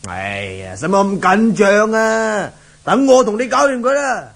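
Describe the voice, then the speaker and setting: A man's voice: high-pitched, sing-song, a little slow, fairly bright tone, exaggerated voice. An actor playing a brash, swaggering henchman with a Hong Kong Cantonese accent in a 1970s radio drama. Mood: cocky. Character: cocky criminal henchman, brash and casual, serving his boss.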